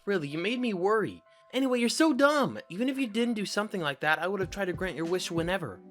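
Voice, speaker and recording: A woman's voice: mid-range voice, lively intonation, fast talker, faint music behind, natural voice. A woman voicing a modest, caring thirteen-year-old boy in an anime style, earnest and a little awkward. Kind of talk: anime-style character voice acting.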